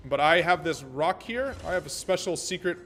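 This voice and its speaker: An American man streaming a naval battle game, thinking aloud about tactics and chatting with viewers in a relaxed way. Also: fairly high pitch, moderate pace, slight background noise. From American, born in Germany. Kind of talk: live gameplay commentary and chat. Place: Vienna home setup.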